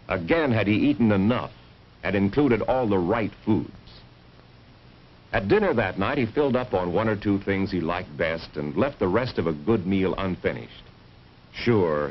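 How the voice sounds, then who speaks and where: A man narrating a vintage 1950s educational film in a scripted, dramatic storytelling manner with rhetorical emphasis. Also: on the low side. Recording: muffled, hissy old film soundtrack.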